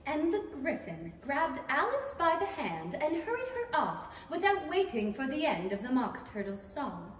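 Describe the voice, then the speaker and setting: A woman's voice: high-pitched, very animated, normal speed, long pauses. A young woman narrating a 1930s-style storybook radio play from a script. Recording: echoey theatre stage, distant mic, hiss.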